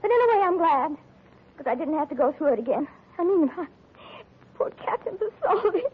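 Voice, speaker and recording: Devoted secretary, 1940s radio drama, very high voice, gushing and tender, muffled old broadcast. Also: female voice, big pitch swings, normal speed. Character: devoted young female secretary, soft-hearted and sentimental.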